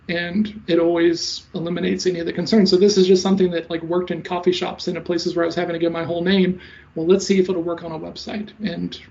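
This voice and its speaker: A man in his thirties who talks fast and stutters a little, giving casual interview answers as a sales coach. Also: sits high, creaky, a little mellow. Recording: slightly dull lo-fi audio.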